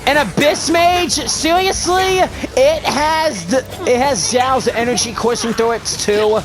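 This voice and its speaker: A gamer giving excited, exclamatory gameplay commentary, reacting aloud. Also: high-pitched, measured pace, low-quality recording. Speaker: gamer, video host. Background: game music.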